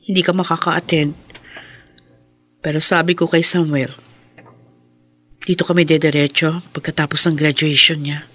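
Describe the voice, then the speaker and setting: A woman's voice: low-pitched, flat delivery, fairly bright tone, no echo. An actress playing a steadfast, loving wife and mother in an earnest, emotional Filipino radio drama. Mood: gentle concern. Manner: earnest, emotional, measured phrases. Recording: music behind the voice.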